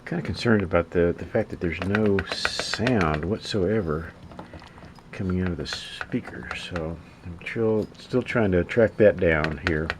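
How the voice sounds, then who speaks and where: A man's voice: low-pitched, background noise. A man thinking aloud in a casual, hesitant way as he narrates hands-on repair work at an indoor workbench. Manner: hesitant, halting, musing to himself. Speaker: hobbyist radio repairer narrating his work.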